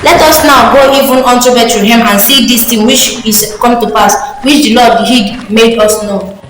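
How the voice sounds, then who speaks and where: A woman's voice: on the high side, moderate pace, a bit bright, lightly acted. Girl as an amazed shepherd in a school radio play, reciting, big echo, bit of noise. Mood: awed, eager. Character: awestruck shepherd eager to see the miracle. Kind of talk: amateur school radio drama. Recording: very echoey room, faint noise.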